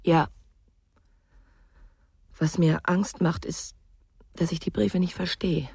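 A woman's voice: fairly low pitch, steady tone, slow pace, vocal fry, mellow, husky, dry recording, clean studio sound, exaggerated voice. An actress playing a wary, worried, blunt woman in a radio crime drama, asking curt, probing questions. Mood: worried, reproachful.

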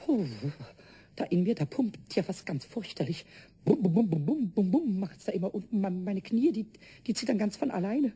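Tiny cheeky goblin kid, really high squeaky voice, stammers and begs, radio play. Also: male voice, lively intonation, moderate pace, warm tone, breathy edge, heavily acted. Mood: shaky, scheming. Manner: stammering, pleading, breaking off and repeating words. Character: small cheeky nervous male kobold, childlike prankster. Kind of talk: German radio play acting.

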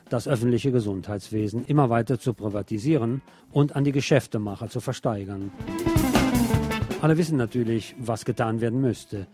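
A man's voice: mid-range voice, dark tone. A man presenting scripted local news in German, with a wry, opinionated streak. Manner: sardonic, opinionated asides.

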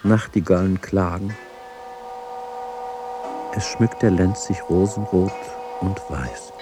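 An actor playing a solemn reader of classical verse in a radio drama, declaiming in measured lines over music. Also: male voice, low voice, fairly even intonation. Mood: solemn, lyrical.

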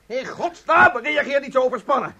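An actor in a radio drama playing a pompous, fussy, self-absorbed husband who talks reproachfully. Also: male voice, extremely high pitch, lively intonation, normal speed, gravelly, raised voice, lo-fi sound, a crowd in the background, heavily acted. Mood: peevish, pompous.

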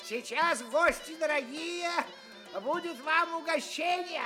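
A woman's voice: very high voice, takes it slowly, loud music behind the voice. A woman playing a greedy, scheming, easily enraged rich master in a theatrical fairy-tale audio play, wailing and muttering to himself. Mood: scheming, bossy.

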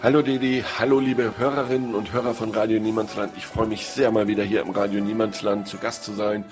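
A man speaking as a guest film critic on the radio, explaining in a measured way. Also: lowish voice, flat delivery, faint music behind.

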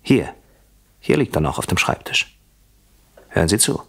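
A vengeful husband in a radio play, very low and husky. His pitch swings widely as he moves from mock courtesy to menacing whispers, always deliberate and coldly methodical. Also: big pitch swings, full character voice. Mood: sardonic. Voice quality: husky, often dropping to a whisper. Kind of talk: German radio play acting.

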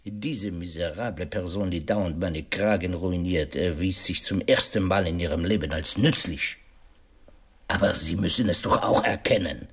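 An actor playing a shrewd, self-assured detective in a radio drama, laying out a case with rhetorical questions and emphatic pauses. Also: male voice, low-pitched, slightly rough. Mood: pointed, insistent.

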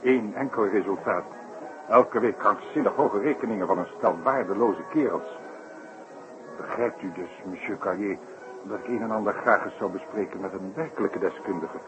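A male actor plays a grave circus director in a 1960s radio play, worn to exasperation. The voice is very low, formal and emphatic, with music behind it. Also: moderate pace, muffled recording, natural voice. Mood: grave, exasperated. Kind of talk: 1960s Dutch radio drama acting.

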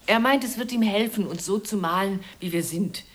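A woman's voice: medium pitch, moderate pace, lightly acted. An actress playing a bossy but doting mother in a German radio play, by turns commanding and coaxing. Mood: announcing. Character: bossy, doting mother organising children for a portrait.

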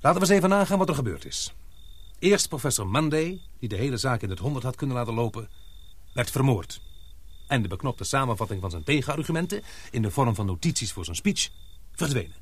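A grave expedition man in a radio play. His voice is a little rough and husky, at a middling pitch. He speaks deliberately and emphatically, as someone suspicious and piecing a plot together. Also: animated. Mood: grave, reasoning. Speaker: radio drama actor. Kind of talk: Dutch radio play acting.

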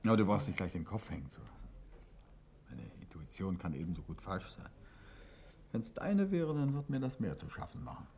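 A wry calm detective, dry teasing, old radio play, voice moves around a lot. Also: male voice, low-pitched, a little fast, vocal fry, long pauses, full character voice. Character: wry, calm adult male detective, secretive. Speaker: amateur detective. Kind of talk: 1950s radio play acting.

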